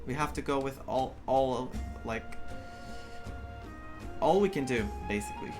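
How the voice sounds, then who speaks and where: A male gamer gives casual commentary on a playthrough. He talks slowly at a middle pitch, thinking aloud, with game music clearly playing behind him. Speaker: gaming content creator.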